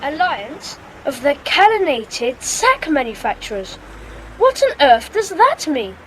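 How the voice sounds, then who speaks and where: High-pitched, sensible schoolboy sleuth. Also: female voice, big pitch swings, measured pace, fairly bright tone, a little hiss or hum, heavily acted, low-quality recording. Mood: sceptical, musing. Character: sensible, sceptical schoolboy in a detective gang. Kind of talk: children's audiobook dramatisation.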